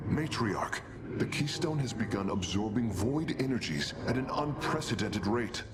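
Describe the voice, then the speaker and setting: An actor playing an earnest, analytical alien adviser in a video game, reporting in formal, precise speech. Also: male voice, medium pitch, animated, normal speed, over loud music, natural voice. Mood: concerned. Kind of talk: video game cinematic acting.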